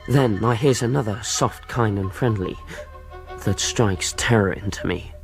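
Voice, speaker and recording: A man's voice: on the low side, a little slow, soft background music. An actor playing a frightened but earnest ship's boy, telling his story in rustic grammar in a tense radio drama. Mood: hushed dread.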